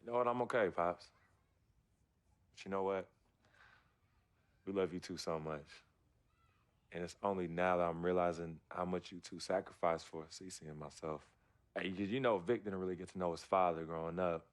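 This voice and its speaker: A man's voice: low voice, normal speed, pauses often, close to an everyday voice. An actor playing a laid-back, sincere young American man, a loyal brother, talking in earnest slang in game dialogue. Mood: warm, sincere. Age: young adult. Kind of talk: naturalistic game cutscene dialogue.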